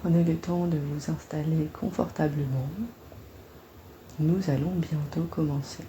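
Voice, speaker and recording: Low-voiced lady doing a guided meditation, super slow n gentle, bit of noise behind her. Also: fairly even intonation. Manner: gentle, soothing, unhurried delivery with long pauses. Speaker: psychologist guiding a meditation. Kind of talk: guided body-scan meditation.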